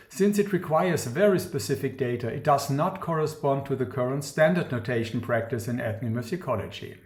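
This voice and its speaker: A man gives a scripted academic lecture in a formal, measured, precise manner. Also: mid-range voice. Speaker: academic researcher presenting a talk. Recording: roomy, echoing sound.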